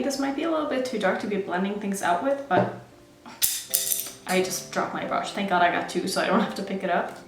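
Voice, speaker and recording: A woman's voice: on the high side, roomy sound. A woman who grew up in Norway, chatting casually while she does her makeup for a beauty vlog. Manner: chatty, self-narrating while applying makeup. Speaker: beauty vlogger.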